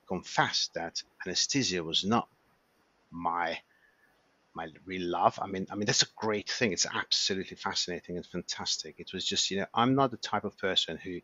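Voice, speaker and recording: Hungarian-accented male doctor, 50s, halting delivery, lo-fi sound. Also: low voice, pushed and bright, dry recording. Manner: hesitant, many restarts and fillers. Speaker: emergency physician guest. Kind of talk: casual interview chat.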